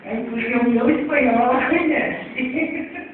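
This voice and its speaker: A woman's voice: high-pitched, slow pace. A woman with a São Paulo accent giving a classroom lecture, chatty and checking in with her listeners after nearly every point. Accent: São Paulo Portuguese. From Brazil. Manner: chatty, checks in often with tags. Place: lecture hall. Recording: tinny distant echoey hall recording.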